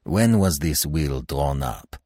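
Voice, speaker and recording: A man voicing a courteous French examining magistrate in an audiobook mystery, speaking in a formal, deliberate, polite way. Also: rumbling low, lively intonation, dark tone, dry recording, studio quality, full character voice. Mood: polite, restrained. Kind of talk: acted dialogue in audiobook mystery.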